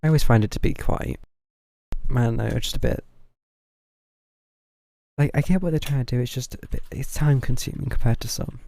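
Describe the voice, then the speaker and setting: A man giving casual live commentary as he streams a game, low-key and musing. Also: moderate pace, vocal fry, long pauses, dark tone, no room sound.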